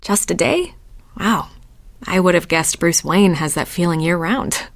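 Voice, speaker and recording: A teasing flirty party guest for a game, kinda low voice, knowing, natural chatty delivery. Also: female voice, expressive, a little slow. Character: wry, flirtatious woman at a society party. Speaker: game voice actor. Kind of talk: conversational game dialogue acting.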